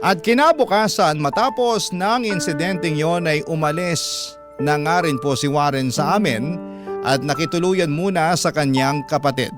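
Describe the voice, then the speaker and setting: A man reading a letter aloud as a radio storyteller, in sentimental, measured, dramatic narration. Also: a little high. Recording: loud background music. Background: music bed.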